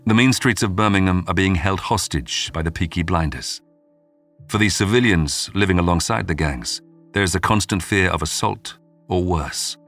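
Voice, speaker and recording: A man delivers scripted true-crime narration. His reading is dramatic and measured in the manner of a history documentary, with music playing underneath. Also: low-pitched, fairly bright tone. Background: background music.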